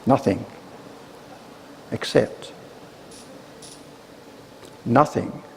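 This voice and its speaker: Slow, gravelly man giving a grave reflection. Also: medium pitch. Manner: grave, deliberate pauses between phrases. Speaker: church speaker giving a reflection. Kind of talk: scripted sermon reflection. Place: church, live service. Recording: reverberant church, faint noise.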